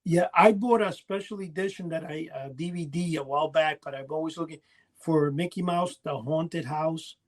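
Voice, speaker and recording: A man with a high-pitched voice hosts a casual podcast. He talks in a rambling, enthusiastic way, like someone sharing stories with friends. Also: normal speed. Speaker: podcast host. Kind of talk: casual chat.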